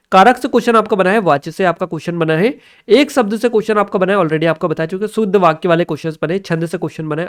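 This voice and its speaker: High-pitched man teaching exam questions. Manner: instructional, often repeats set phrases and checks understanding. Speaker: exam-prep teacher and channel host. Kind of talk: explanatory exam question review for an online lesson.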